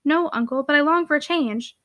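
Woman reading as meek young niece, high voice. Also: fairly quick, muffled recording, close to an everyday voice. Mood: earnest. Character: meek, unhappy young niece, quietly persistent. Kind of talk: storybook character dialogue.

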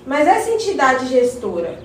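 Radio drama role, for a woman: sincere young neighbour, high-pitched, very echoey recording. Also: wildly swooping pitch, moderate pace. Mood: curious. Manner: earnest, inquiring, respectful. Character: Margarida, earnest pregnant younger neighbour woman. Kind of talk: scripted community radio drama. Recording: very echoey, distant mic, faint noise.

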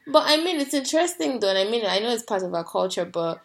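A woman's voice: on the high side, big pitch swings, fast talker. A woman from Nigeria co-hosting a podcast, talking casually and hesitantly, often repeating words as she thinks. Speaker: podcast co-host. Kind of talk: casual chat.

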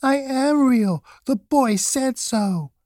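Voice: sits high, animated, slow pace, darkish tone, no room sound, studio quality, pitched above the performer's own voice. A storyteller voicing a small, timid velveteen toy rabbit, earnest and plaintive. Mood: defiant, upset. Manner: plaintive, childlike protesting.

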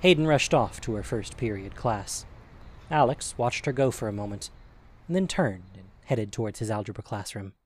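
A man's voice: on the low side, animated, normal speed, a little hiss or hum. A man narrating a scripted audio drama as a storyteller.

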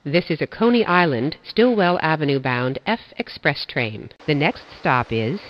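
An automated-sounding subway announcer reading stops and transfers in flat, measured phrasing. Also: mid-range voice, slight background noise. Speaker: train announcer. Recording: dull lo-fi audio.

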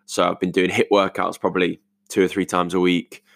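A young British man with a croaky voice recording a casual, reflective voice note at home, rambling informally as he thinks aloud. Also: sits low, level tone, fairly quick, fairly bright tone, no echo, studio quality. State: croaky voice today. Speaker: podcast host. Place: multi-purpose living room at home.

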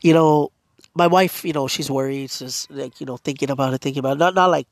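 A man's voice: medium pitch, quick pace, gravelly, dry recording. A man hosting a podcast, talking casually and earnestly with a blocked nose and a fading voice, full of fillers. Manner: rambling, filler-heavy, earnest. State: congested and losing his voice. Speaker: podcast host. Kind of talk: casual personal monologue.

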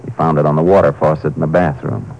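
An actor playing a calm, firm Texas Ranger in a 1950s radio crime drama, terse and dryly persistent. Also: male voice, extremely low pitch, vocal fry, full character voice. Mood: confident, pressing. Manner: terse, unhurried questioning, dry. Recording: muffled, hissy 1950s radio recording.

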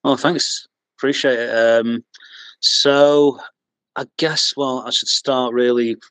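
A man's voice: mid-range voice, steady tone, slow, fairly bright tone, clean tone, dry recording, poor audio. A man with a Mancunian accent, chatting as a podcast guest, hesitant with lots of ums and restarts, chuckling at himself. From England. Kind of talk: casual interview chat.

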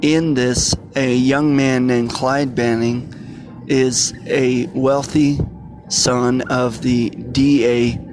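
A man hosting a podcast, giving an informal introduction in a halting way and stumbling over phrases. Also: mid-range voice, flat delivery, over music, low-quality recording. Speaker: podcast host.

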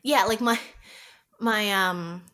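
A young woman from Canada talks in a loose, chatty podcast style. She fills her sentences with likes and ums and breaks into laughter often. Her pitch sits in an ordinary middle range. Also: slow pace, sharp tone. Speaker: podcast guest. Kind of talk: casual podcast chat.

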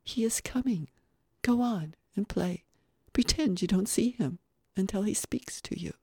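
A woman playing an indulgent, knowing lady companion in a storybook, brisk and confiding. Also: lowish voice, expressive, dark tone, dry recording, studio quality. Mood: hushed, urgent.

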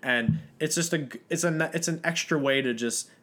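A man's voice: fairly high pitch, normal speed, fairly bright tone. A man hosting a casual fitness podcast, earnestly explaining lifting technique with lots of fillers. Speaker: podcast host.

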